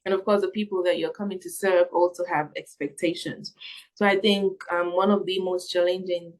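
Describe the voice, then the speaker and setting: A young adult woman with a slightly low voice answers interview questions reflectively. She sounds earnest and thinks aloud, often halting as she works toward her point. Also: fairly even intonation, rough recording. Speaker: missionary interviewee.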